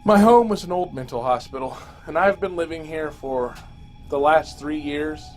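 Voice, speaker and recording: A man reading a scripted first-person horror story as an audio drama narrator, grave and dramatic with deliberate phrasing. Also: a little high, darkish tone. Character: male survivor recounting a zombie fungus apocalypse. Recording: amateur narration over music. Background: music.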